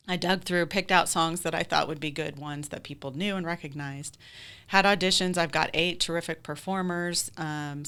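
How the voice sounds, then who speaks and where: A woman's voice: lowish voice, bright, forceful tone, smooth. A woman chatting casually as an interview guest, explaining things with enthusiasm. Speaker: podcast guest, theater director.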